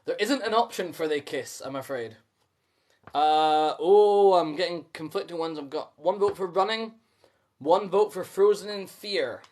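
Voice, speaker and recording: A man's voice: high-pitched, a little slow, a little mellow. A man reading a storybook aloud as host, with chatty asides to listeners.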